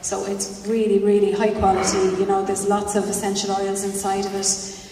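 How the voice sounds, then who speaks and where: A woman's voice: mid-range voice, monotone, moderate pace, dark tone. Woman rambling in a roomy hall. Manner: rambling, full of "you know" asides. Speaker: panelist. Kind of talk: panel discussion answer. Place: large hall at a festival panel. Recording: roomy hall, distant mic, faint noise.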